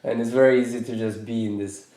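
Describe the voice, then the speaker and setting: A man from Norway in his thirties, hosting a podcast in a reflective, casual way, pausing and restarting his sentences as he thinks aloud. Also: mid-range voice, steady tone, warm tone, echoey room. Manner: thoughtful, hesitant with many restarts. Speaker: podcast host.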